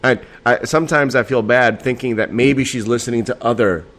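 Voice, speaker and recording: A man in the middle of his ordinary pitch range hosts a late-night podcast from home. He sounds a little tipsy and slightly nasal. He rambles loosely, laughing often and swearing casually between fillers. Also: brisk, a bit bright. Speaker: podcast host. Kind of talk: late-night casual solo talk show.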